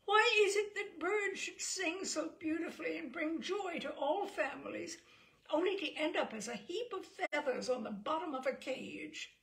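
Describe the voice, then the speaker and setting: A small boy acted out by a woman reading aloud pipes up in a high voice. He is earnest and hurt, wailing through his words as in a storybook tale. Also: moderate pace, a bit bright. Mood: earnest, puzzled. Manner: wailing, blubbering delivery.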